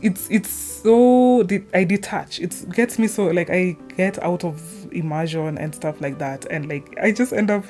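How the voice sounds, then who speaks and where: A woman talking casually in a rambling, giggly commentary, often halting and cutting herself off mid-thought. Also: on the low side, darkish tone, faint music behind. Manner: chatty, halting, self-interrupting, giggly. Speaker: video creator.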